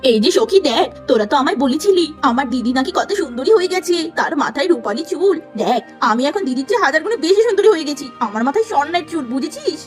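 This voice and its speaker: Woman voicing spiteful young sister, cartoon, shrill, scornful, bossy, high voice, faint music. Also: animated, fast talker, few pauses. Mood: boastful.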